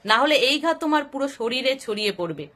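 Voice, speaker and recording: A woman's voice: sits high, lively intonation, fairly quick, fairly bright tone. A woman voicing a stern, matter-of-fact village doctor in a moral story. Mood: grave warning.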